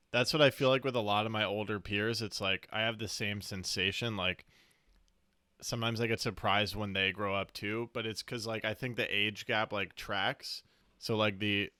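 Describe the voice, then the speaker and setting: A man in his mid-twenties co-hosting a podcast, thinking out loud in casual chat with a lot of filler words. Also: fairly low pitch, fairly even intonation, normal speed, clean tone, dry recording, studio quality. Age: 20s. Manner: thoughtful, reasoning out loud with many fillers. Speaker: podcast co-host.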